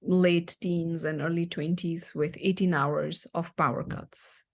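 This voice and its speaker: Low-voiced Nepali woman, hesitant. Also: flat delivery, takes it slowly, creaky. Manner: thoughtful, many fillers and restarts. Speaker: guest. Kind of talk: podcast interview answer.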